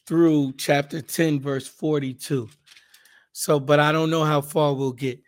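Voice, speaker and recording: A man with a hoarse, raspy voice, hosting a casual Bible podcast and leading a fervent spoken prayer. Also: fairly high pitch, little pitch movement, unhurried. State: hoarse, raspier than usual.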